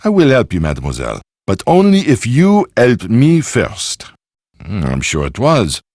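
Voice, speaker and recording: A man's voice: lowish voice, huge pitch swings, measured pace, a little mellow, no room sound, clean studio sound, exaggerated voice. A man acting as a suave, self-important Frenchman in a video game, with a French accent and pompous, precise delivery. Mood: pompous, bargaining.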